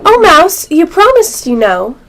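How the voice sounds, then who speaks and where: A very high, girlish voice from a young female actor plays a polite little girl in an amateur radio play. She is earnest and bewildered by everything around her. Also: sing-song, a little slow, crowd noise, exaggerated voice, rough recording. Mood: earnest, apologetic.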